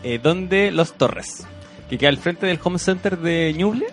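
Un hombre con acento chileno en una charla casual entre amigos, bromista y de risa fácil. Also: male voice, fairly high pitch, animated, a little slow, faint music behind. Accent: Chilean Spanish. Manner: jokey, laughs often. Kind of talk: casual chat.

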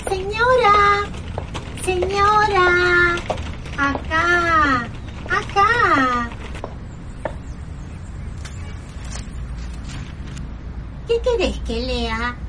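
An Argentine woman playing a needy, excitable talking newspaper in a radio play, with theatrical, childlike eagerness. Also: extremely high pitch, extremely slow, background noise, heavily acted. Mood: pleading, coaxing.

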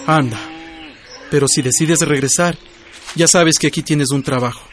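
An actor playing a friendly, educated school mentor in a radio drama, inquisitive and encouraging. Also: male voice, fairly high pitch, moderate pace, a little hiss or hum, natural voice.

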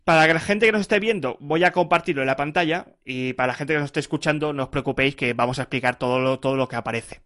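A man's voice: on the high side, fast, few pauses, fairly bright tone, no room sound, studio quality. A man hosting a podcast interview, chatty, with fillers and repeated words. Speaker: podcast host. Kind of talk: casual interview chat.

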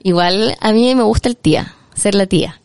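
Gravelly Chilean woman in her thirties. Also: medium pitch, normal speed, a little mellow, dry recording, studio quality. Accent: Chilean Spanish. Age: 30s. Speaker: host. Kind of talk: casual chat.

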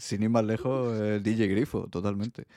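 Low-voiced self-mocking Spanish man, dry sound. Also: fairly even intonation, moderate pace, warm tone, dry recording. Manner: stumbles over words, self-mocking, laughs easily. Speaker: podcast co-host. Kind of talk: casual podcast chat.

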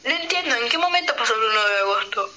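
A woman's voice: a little high, expressive, quick pace, sharp tone, a little hiss or hum. A young woman chatting casually as co-host of a radio show. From Argentina. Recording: muffled, with voices behind.

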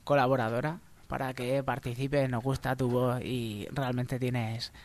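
A man's voice: medium pitch, little pitch movement, unhurried. A man with an accent from Spain, co-hosting a radio show in casual, joking banter.

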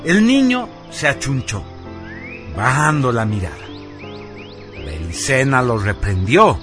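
Male narrator, radio serial, solemn, theatrical, mid-pitched, lively intonation, slow, music behind.

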